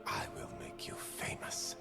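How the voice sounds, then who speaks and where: A man voicing a grandiose, theatrical serial killer who speaks slowly and poetically in a deep, whispery voice, as video game voice lines. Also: lightly acted. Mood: menacing, grandiose. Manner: slow, poetic, dramatic delivery. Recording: music playing behind the voice.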